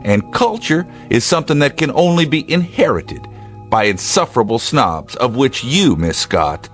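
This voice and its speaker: An actor playing a steady, plain-spoken state trooper in a radio drama, measured and dry in manner. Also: male voice, mid-range voice, soft background music, natural voice. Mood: stung, cutting.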